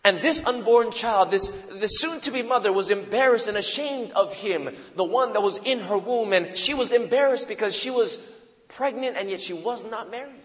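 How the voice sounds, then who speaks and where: A man in his thirties from Hawaii preaching a sermon with personal testimony, slow and dramatic, often dropping low and quiet for emphasis. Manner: slow, dramatic pauses with deep drops for emphasis. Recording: long reverb of a large hall.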